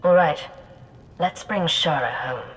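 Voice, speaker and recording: An actress playing a tough, suspicious former secret agent, cool and direct, in a science-fiction video game. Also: female voice, sits low, lively intonation, slow pace, a little hiss or hum, poor audio. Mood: brisk, determined. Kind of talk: scripted video game acting.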